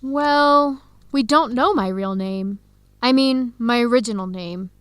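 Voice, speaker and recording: A young woman playing an earnest researcher searching for her birth family in an educational radio drama, speaking clearly and conversationally. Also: fairly high pitch, a little slow, fairly bright tone, no room sound. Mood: resolute. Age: young adult.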